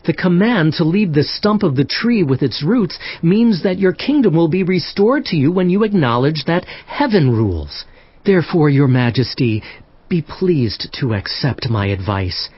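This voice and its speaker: A man's voice: on the high side, very animated, moderate pace, slightly rough. A man playing an earnest, respectful prophet, speaking formally and huskily in a dramatized scripture recording. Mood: earnest, grave. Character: earnest, respectful prophet interpreting a king's dream. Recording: slightly muffled.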